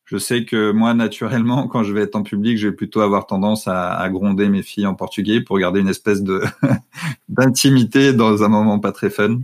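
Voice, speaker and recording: A man in his thirties, a guest in an interview, talking about his family with many pauses and fillers. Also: fairly low pitch, steady tone. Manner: hesitant, many fillers and restarts. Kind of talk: conversational interview.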